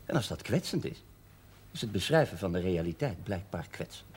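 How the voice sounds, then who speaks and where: A man's voice: deep voice, sing-song, fairly quick, darkish tone, husky, full character voice. A man acting in a television drama as a prickly, sarcastic, defensive man with a biting manner. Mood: irritated, defensive. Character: prickly, sarcastic man, a mining company employee.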